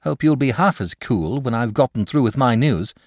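A man voicing an eager, excitable young village boy who pleads breathlessly in a storybook reading. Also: medium pitch, moderate pace, dry recording, clean studio sound, pitched above the performer's own voice. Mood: excited.

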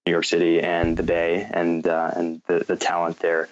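An American man answering interview questions and explaining a business, often pausing and repeating words as he picks his phrasing. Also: low-pitched, monotone, dull audio. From North Carolina, United States. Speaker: guest, fintech company founder.

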